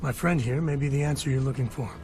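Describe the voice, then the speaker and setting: An actor playing a wry, world-weary space cowboy in a video game, laconic and folksy. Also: male voice, medium pitch, quick pace, a little mellow, slight background noise, natural voice. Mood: dry, resigned.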